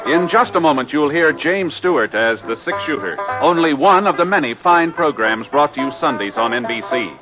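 A man's voice: on the high side, expressive, brisk, few pauses, muffled recording. Formal 1950s radio announcer man, music behind. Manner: formal, polished.

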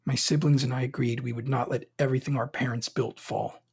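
A young emperor of an audio drama, angry and grieving underneath his pride. His voice is heavily breathy and husky, and he speaks in brooding, formal, clipped phrases. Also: medium pitch, fairly even intonation, brisk, darkish tone. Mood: brooding, sorrowful. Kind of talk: audio drama acting with first-person narration.

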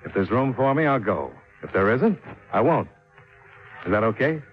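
A man's voice: mid-range voice, big pitch swings, moderate pace, natural voice. A man playing a shrewd private investigator in a 1950s radio drama, speaking in a clipped, dry, matter-of-fact way. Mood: casual. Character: shrewd, wry hard-boiled male private investigator. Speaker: narrator and lead of radio detective drama. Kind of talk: 1950s radio acting, hard-boiled narration. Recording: muffled 1950s radio recording with music.

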